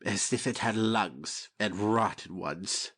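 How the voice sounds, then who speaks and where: A man's voice: fairly low pitch, slow, fairly bright tone. A man playing a cynical, idle-witted nobleman who delivers sneering quips in a Shakespeare audiobook. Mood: mocking. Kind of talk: dramatic Shakespeare audiobook reading.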